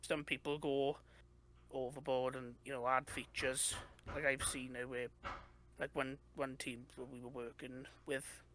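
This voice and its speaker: A man's voice: mid-range voice, level tone, a little slow. A young man with a Welsh accent, a game developer chatting casually as an interview guest, rambling with lots of fillers and restarts. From Wales. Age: young adult. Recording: noisy background.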